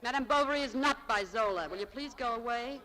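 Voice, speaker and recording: A woman playing a haughty, sharp-tongued young shop clerk in a 1940s radio drama, with tart, exasperated, theatrical delivery. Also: on the high side, a little fast, poor audio. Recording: vintage 1940s radio broadcast.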